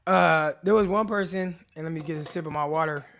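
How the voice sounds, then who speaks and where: A man with a naturally raspy, dry voice hosting a casual live sports talk stream, chatting straight to his viewers in relaxed slang. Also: fairly high pitch, fairly quick. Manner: laid-back slangy chat addressing viewers directly. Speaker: podcast host. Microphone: desk mic with earbuds in.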